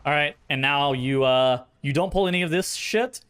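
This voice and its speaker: A man with a fairly high voice talks through game strategy in a casual, blunt way. He swears freely and thinks out loud as he explains. His throat sounds a bit worn. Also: normal speed, smooth. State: voice tiring, sore throat. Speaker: streamer. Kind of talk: casual gameplay commentary, explaining dungeon strategy.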